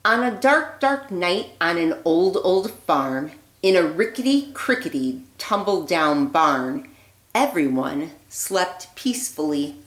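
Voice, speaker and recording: Lady teacher doing storytime for little kids, lowish voice, takes it slow, roomy echo. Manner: clear, deliberate, expressive phrasing with emphasis on rhymes. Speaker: teacher reading a picture book aloud. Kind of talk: read-aloud storytelling for young children. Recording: echoey room.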